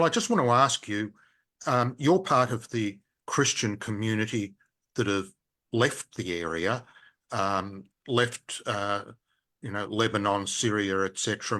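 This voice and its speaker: A man hosting an interview, asking measured questions and checking points back with his guest. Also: fairly low pitch, sharp tone. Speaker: radio host, interviewer.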